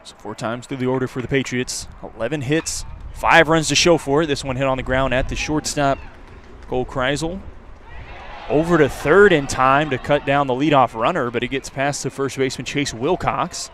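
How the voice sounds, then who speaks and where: A man does live radio baseball commentary at a medium pitch, with outdoor ballpark noise behind him. He talks conversationally between pitches, filling the time with background on the players. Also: normal speed, a bit bright. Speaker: play-by-play announcer. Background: outdoor ballpark ambience.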